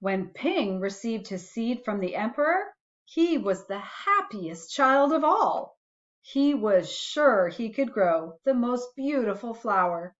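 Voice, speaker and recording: A woman reading a children's storybook aloud expressively, adding musing asides to young listeners in a deliberate way. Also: a little high, very animated, muffled recording.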